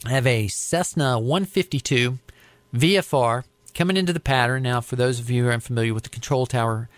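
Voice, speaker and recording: An American man recounting his work as an air traffic controller in a conversational, deliberate, explanatory way. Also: medium pitch, dry recording. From United States. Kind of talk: conversational storytelling interview.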